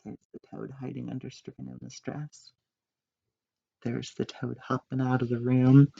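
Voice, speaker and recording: A man reading a storybook aloud to children in an expressive narrating style. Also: mid-range voice, moderate pace, vocal fry, no room sound, lo-fi sound. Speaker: storybook reader.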